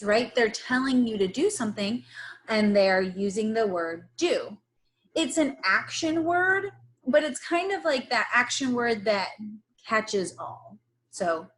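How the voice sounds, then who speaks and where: Slow-paced woman teaching young children. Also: fairly high pitch. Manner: instructional, encouraging, pausing for repetition. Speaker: early-grades teacher. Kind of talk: slow read-aloud phonics lesson for young children.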